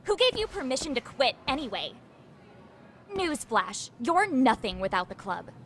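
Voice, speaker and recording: A woman voicing a spiteful, domineering high school bully in a video game dub, sneering and taunting. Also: sing-song, moderate pace, a bit bright, a little hiss or hum, heavily acted. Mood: contemptuous.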